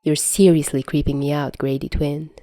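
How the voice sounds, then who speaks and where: A woman's voice: deep voice, flat delivery, moderate pace, dark tone, no room sound, natural voice. A woman voicing a wry, fatherly grown man in an audiobook story, teasing yet patient and protective.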